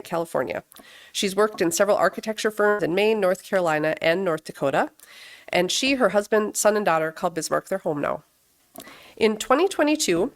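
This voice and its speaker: A woman leading a worship service, reading prepared words and introductions in a measured, deliberate way. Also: medium pitch. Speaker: worship service leader at a Unitarian Universalist fellowship. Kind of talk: scripted church readings and speaker introduction.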